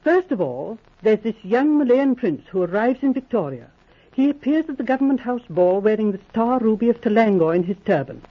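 An actress playing an earnest young woman secret agent in a 1940s radio drama, talking in a brisk, slightly prim way. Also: fairly high pitch, sing-song, husky. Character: Miss Guest, sharp-minded young woman secret agent. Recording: dull lo-fi old radio transcription.

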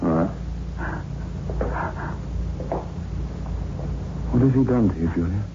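An actor playing a stubborn, anxious young suitor in an old-time radio horror drama, insistent, agitated and melodramatic. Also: male voice, fairly low pitch, rapid-fire, natural voice. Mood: anxious, concerned. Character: stubborn, anxious fiancé determined to find his bride. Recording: noisy, dull old radio recording.

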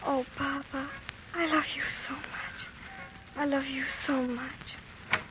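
A woman's voice: high-pitched, little pitch movement, slow. An actress playing an earnest young girl, a loving daughter and protective big sister, in a 1940s radio drama with plain, working-class childlike talk. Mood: tender. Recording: faintly noisy 1940s radio broadcast.

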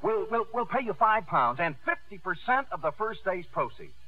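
An eager young promoter pitches with excitable, persuasive patter in 1950s radio drama style. His highish voice swoops up and down, heard through a thin, tinny old broadcast. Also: normal speed. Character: eager young fast-talking showman promoter, brash and persuasive. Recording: tinny, band-limited old radio recording.

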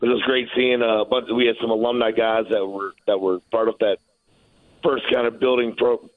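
Over a narrow, tinny phone line, a middle-aged male coach chats in a radio interview. His mid-pitched voice is casual, and he often repeats himself. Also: flat delivery, moderate pace. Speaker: college football coach, phone guest. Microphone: phone call.

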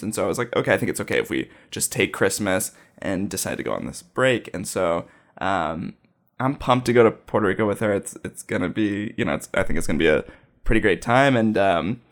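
A young man from Ohio, telling a rambling personal story in a casual, self-deprecating way. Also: lowish voice. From Dayton, Ohio, US.

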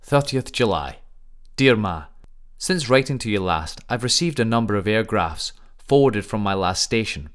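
A man's voice: lowish voice, lively intonation, moderate pace, natural voice. An actor playing a fond, dutiful young wartime airman, earnestly reading out a letter to his mother.

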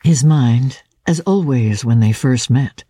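A woman's voice: rumbling low, takes it slowly, mellow, slightly rough, no room sound, clean studio sound. A woman reading a classic novel aloud as a dramatic, scripted literary narration. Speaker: audiobook reader.

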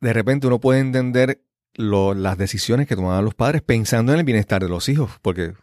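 A man's voice: mid-range voice, a little fast, darkish tone, no echo, studio quality. A man hosting a podcast, introducing and interviewing in a conversational way. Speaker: podcast host.

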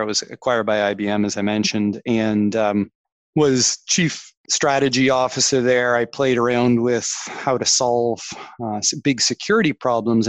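A Canadian man with a fairly low voice speaks as a podcast guest. He sounds curious and reflective, often restarting his sentences. The recording is dry and close, with no room sound. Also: no room sound. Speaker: tech executive guest. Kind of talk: podcast interview.

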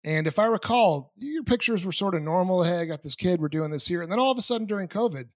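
Higher-voiced man hosting, dry sound. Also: expressive, quick pace, dry recording. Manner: rambling, chatty questions. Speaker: podcast host. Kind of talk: casual interview.

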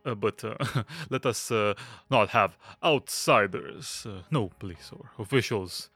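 Man acting a stammering, fussy, nervous little gentleman. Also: lowish voice, lively intonation, a little slow, no room sound, full character voice. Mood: eager, conspiratorial. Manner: stammering, fidgety, obsequious, nervous little laughs. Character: fussy, nervous, hypochondriac young Englishman, aesthete host. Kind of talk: audio drama acting.

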